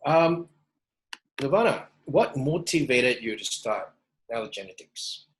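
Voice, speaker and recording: A man with a mid-pitched voice hosts a webinar panel as moderator. He is formal and courteous, feeling his way through phrases in a halting manner. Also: animated. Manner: polite, halting.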